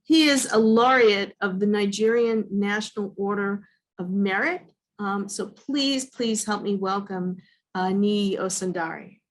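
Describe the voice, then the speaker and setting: A woman's voice: mid-range voice, a little slow. A woman hosting a reading series over a video call, introducing poets with frequent hesitant fillers. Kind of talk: introducing speakers.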